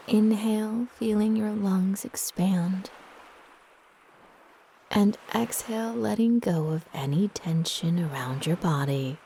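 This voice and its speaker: A woman narrating a guided meditation in a soft, breathy, gentle and soothing manner with long pauses. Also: mid-range voice, slight background noise.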